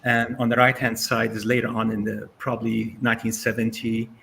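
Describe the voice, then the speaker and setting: A man's voice: lowish voice, monotone, a little fast, creaky, sharp tone, a little hiss or hum. Syrian-born man in his sixties, storytelling. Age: 60s. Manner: conversational storytelling with frequent fillers. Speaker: guest speaker. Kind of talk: casual presentation over video call.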